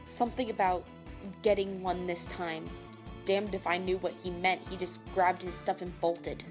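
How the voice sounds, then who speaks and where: A woman playing a brash, hot-tempered chef in an audio drama, gruff and sarcastic, cursing mildly in food words. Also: fairly low pitch, flat delivery, normal speed, natural voice. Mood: exasperated. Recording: music behind the voice.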